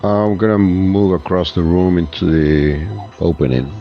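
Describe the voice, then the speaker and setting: A man's voice: low voice, unhurried. A man casually stating his moves as a player in a tabletop game, on dull audio with music behind.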